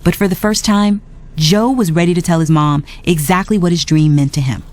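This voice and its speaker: A woman narrating a read-along storybook in an expressive, scripted storytelling style. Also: lowish voice, fairly quick. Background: music.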